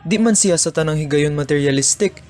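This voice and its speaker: A man's voice: fairly high pitch, brisk, darkish tone, soft background music, lightly acted. Man playing an earnest broke boyfriend. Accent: Cebuano. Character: lovesick broke young boyfriend.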